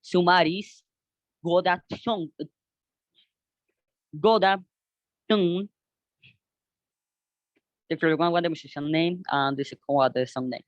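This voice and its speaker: A young man with a Colombian Spanish accent, presenting a class podcast project in halting English, often stopping to search for words and restart. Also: on the high side, steady tone, no echo. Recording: dull, dry close-mic recording.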